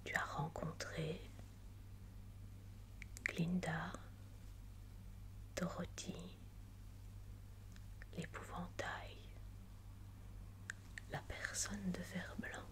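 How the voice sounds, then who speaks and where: Woman as a whispering, soothing maternal figure. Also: lowish voice. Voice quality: breathy whisper. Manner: slow, soothing, hushed. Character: tender maternal woman watching over a sleeping child. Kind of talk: whispered ASMR roleplay.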